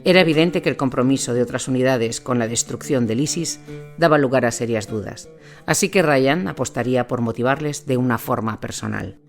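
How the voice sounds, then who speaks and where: Man, narrator, scripted documentary delivery, mid-pitched voice, light music bed. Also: a little fast, vocal fry.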